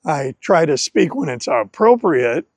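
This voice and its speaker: A man who is a native English speaker, presenting an educational talk on language learning in deliberate, carefully phrased short segments. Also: on the high side, very animated, vocal fry, a little mellow, no room sound. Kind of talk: scripted educational talk to camera.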